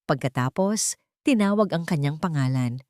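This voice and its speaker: A woman's voice: deep voice, animated, moderate pace, a little mellow, no echo, studio quality. A woman reading a scripted, dramatic romance story as its narrator.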